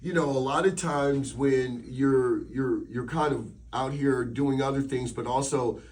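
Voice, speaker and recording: A man's voice: medium pitch, steady tone, measured pace, a little mellow, distant mic. A man hosting a radio interview in a casual, conversational style, asking long, rambling questions with affirming interjections.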